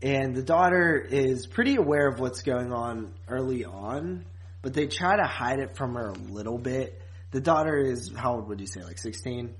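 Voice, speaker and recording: A man's voice: mid-range voice, lively intonation, moderate pace. Man in a casual, blunt podcast chat. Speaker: podcast co-host.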